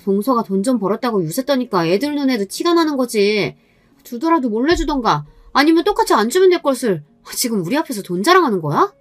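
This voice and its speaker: A woman's voice: fairly high pitch, sing-song, fast, no room sound, soft background music, pitched above the performer's own voice. A woman voicing a spiteful, domineering sister-in-law in a scolding, sneering, condescending way for a dramatized story. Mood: accusing.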